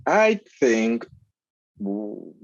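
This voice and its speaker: A man from Trinidad and Tobago with a Trinidadian accent, a guest talking in a relaxed, friendly interview with plenty of fillers. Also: mid-range voice, extremely slow, no room sound, lo-fi sound. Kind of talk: casual interview conversation.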